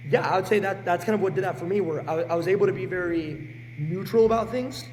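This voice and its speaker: A man in his late teens with a hoarse, sick voice, talking bluntly and energetically as a podcast guest. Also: sits high, quick pace, long reverb tail, a little hiss or hum, low-quality recording. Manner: energetic and blunt, swears freely. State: hoarse, sick with a sore throat. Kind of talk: casual podcast chat.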